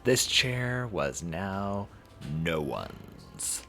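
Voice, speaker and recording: A man with a low voice reads a visual novel aloud on a stream. He goes very slowly and haltingly, dramatising the text and correcting himself, with lively swings in pitch. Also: music in the background. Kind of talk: reading a visual novel aloud with ad-lib asides.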